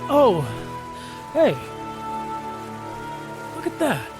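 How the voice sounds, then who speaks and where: Brief: man voicing a proud young knight in an audio drama, high and lively, over music. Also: drawn-out words, heavily acted. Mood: friendly. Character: young noble male knight, earnest and proud. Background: background music.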